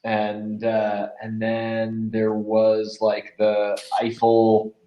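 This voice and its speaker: A man's voice: lowish voice, completely flat delivery, extremely slow, muffled recording. A man chatting casually as an interview guest, a voice actor who slips into acting out quoted phrases. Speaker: podcast guest, audio drama voice actor. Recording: roomy, echoing sound.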